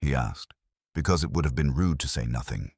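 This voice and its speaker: Deep voiced guy narrating a wry mystery story, measured but lots of up and down, very dry close sound. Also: expressive, creaky, darkish tone, breathy edge, no echo. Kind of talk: scripted literary mystery narration.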